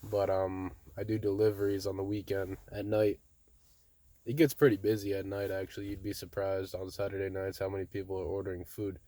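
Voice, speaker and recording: A young man casually telling a story to his viewers in a monotone, laid-back way, often saying "bro". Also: deep voice, a little fast. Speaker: vlogger.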